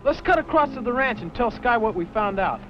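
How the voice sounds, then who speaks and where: A man's voice: very high-pitched, lively intonation, a little fast, background noise. A male actor playing an earnest, eager teenage ranch boy in a 1950s radio drama. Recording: muffled old radio recording, music behind.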